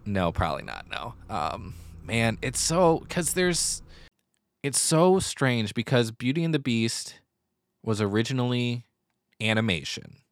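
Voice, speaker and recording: A man's voice: medium pitch, very animated, unhurried, dry recording. A man co-hosting a theater podcast in a casual, conversational and explanatory way. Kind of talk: casual chat about musical theater news.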